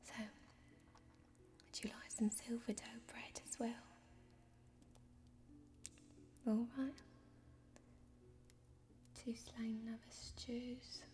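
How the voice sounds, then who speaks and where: Soft, mellow, whispery tavern barmaid played by a woman. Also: fairly high pitch, little pitch movement. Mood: soft, attentive. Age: young adult. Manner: soft-spoken, whispery, unhurried. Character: friendly fantasy tavern barmaid, chatty and homely. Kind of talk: ASMR fantasy role-play. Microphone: close microphone.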